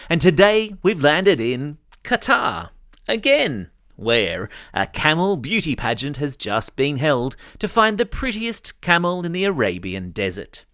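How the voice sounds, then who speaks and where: Animated, higher-voiced Australian man hosting kids' news. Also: dry recording, clean studio sound. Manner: chatty, playful, addresses young listeners directly. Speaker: podcast host. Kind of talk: scripted news narration for children.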